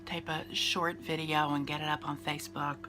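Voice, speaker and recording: A woman's voice: low voice, level tone, normal speed, background noise. A woman in her fifties with a nasal, congested voice, chatting through a makeup routine in a rambling, casual way. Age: 50s. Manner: chatty, rambling, with fillers and restarts. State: congested from a cold. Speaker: beauty vlogger. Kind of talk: casual makeup tutorial chat.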